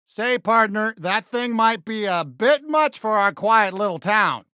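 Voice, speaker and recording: Good-natured cartoon cowboy sheriff, American, folksy drawl, very lively swings in pitch, unhurried, game character. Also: male voice, clean tone, dry recording, clean studio sound, full character voice. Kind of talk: animated video game character lines.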